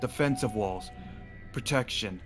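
A man's voice: lowish voice, unhurried, over music, close to an everyday voice. A man playing a serious, commanding werewolf pack leader in a fantasy roleplay, speaking firmly and decisively. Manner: firm, decisive, grave. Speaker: roleplay performer. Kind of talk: improvised fantasy roleplay acting.

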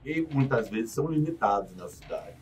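A man, a guest in a radio studio interview explaining things in a measured way, often adding "né". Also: medium pitch, animated, faint music behind. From Salvador, Bahia, Brazil. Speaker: guest, real estate developer. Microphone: studio condenser mic on boom arm.

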